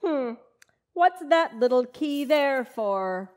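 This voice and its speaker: A woman playing a sharp, teasing, practical wife in an old-time radio comedy. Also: sits high, expressive, takes it slowly. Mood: nosy, teasing. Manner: teasing, exclamatory.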